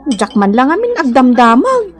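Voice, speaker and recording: Young Ilocano teacher in love, high animated voice, reassuring, radio drama. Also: female voice, normal speed. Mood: chatty.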